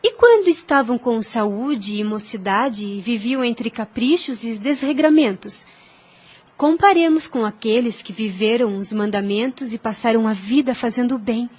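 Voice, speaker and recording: A woman acts out a gentle young lady of deep faith in a religious audio drama. Her voice sits fairly high, and she explains things with earnest, reverent care. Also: normal speed, close to an everyday voice. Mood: earnest, devout. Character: gentle, devout young Spanish woman, earnest teacher of scripture.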